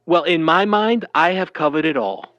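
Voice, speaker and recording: An actor playing a wary, slangy Hollywood agent in a hard-boiled 1940s-style noir audio drama. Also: male voice, on the high side, normal speed, a bit bright, dry recording, dull audio, natural voice. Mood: wry. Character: wary fast-talking old Hollywood talent agent, man.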